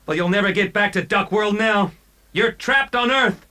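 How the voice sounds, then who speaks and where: An actor playing an anxious, excitable young male scientist sidekick in a children's audio story, frantic and exclamatory. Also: high-pitched, normal speed. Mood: excited, alarmed. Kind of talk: dramatized children's read-along audio story.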